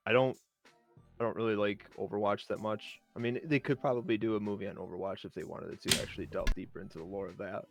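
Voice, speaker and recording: A man's voice: lowish voice, steady tone, fast, faint music behind. A man explaining things casually as a nerdy fan on a discussion panel. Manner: self-described nerdy enthusiast. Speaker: round table panelist.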